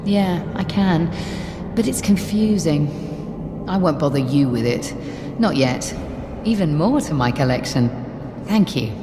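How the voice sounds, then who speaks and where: Earnest, driven scholar in echoing halls. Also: female voice, low voice, animated, unhurried, long pauses, noisy background. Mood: hopeful, absorbed. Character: driven female scholar searching for her lost family. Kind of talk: dramatic video game dialogue. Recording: echoey hall reverb, music behind.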